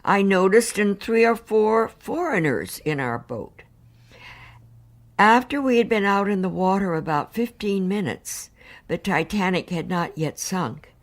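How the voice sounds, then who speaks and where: An actress playing a married woman survivor, giving composed, earnest testimony in a measured, formal, precise way. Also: medium pitch, expressive, lightly acted. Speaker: actor reading witness testimony. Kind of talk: dramatised reading of hearing testimony.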